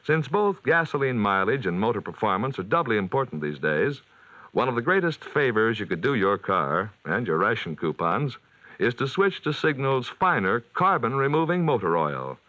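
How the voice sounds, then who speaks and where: A man's voice: lowish voice, sharp tone, no room sound. A man speaking as a 1940s radio announcer, with a polished, emphatic salesman's delivery for the sponsor. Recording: dull vintage 1940s radio broadcast.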